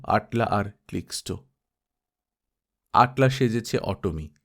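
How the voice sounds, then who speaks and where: A man reading a first-person adventure story aloud in a steady narrating voice. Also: medium pitch. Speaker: audiobook narrator.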